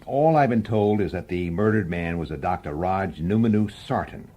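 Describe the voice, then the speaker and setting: Weary gruff cop, husky, animated delivery, blunt colloquial speech, radio drama. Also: male voice, sits low, moderate pace, muffled recording. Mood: businesslike. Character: gruff, irritable, world-weary male police detective. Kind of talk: old-time radio drama acting and narration.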